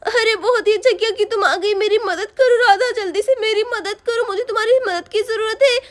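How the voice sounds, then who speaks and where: A woman's voice: extremely high pitch, fairly quick. A woman voicing an injured mother who pleads tearfully for help. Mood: pleading.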